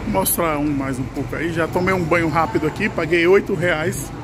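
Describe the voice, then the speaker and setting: A Brazilian man giving casual travel vlog commentary, pointing out buses as they come and go, recorded on the spot. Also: a little fast. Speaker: bus travel vlogger. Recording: noisy outdoor handheld recording with vehicle rumble.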